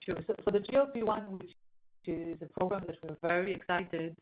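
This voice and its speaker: A woman executive answering on an earnings call, explaining in a halting way as she searches for words. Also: fairly low pitch. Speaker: company executive. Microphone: conference call line. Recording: dull, lo-fi conference call line.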